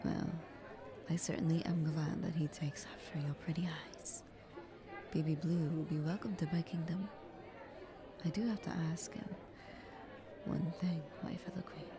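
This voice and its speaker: A woman playing a scheming, flattering queen in an audio roleplay, speaking in a haughty, ornate courtly manner. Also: low voice, normal speed, pauses often, crowd noise. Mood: flattering, eager. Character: visiting queen, scheming, flattering, condescending royal negotiator.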